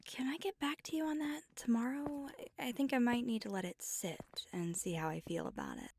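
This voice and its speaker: A woman playing a flirty, teasing, affectionate vampire on a date in an intimate ASMR roleplay, speaking softly and often dropping to a whisper. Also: fairly high pitch, sing-song, gravelly, breathy edge, heavily acted. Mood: tender. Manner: playful, teasing, slow and intimate. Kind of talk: ASMR roleplay, intimate one-sided dialogue.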